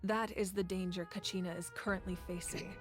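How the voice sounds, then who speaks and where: A woman playing a calm, commanding ruler of a fire nation who speaks in a composed, measured, earnest way. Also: mid-range voice, close to an everyday voice. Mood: steady. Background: game music.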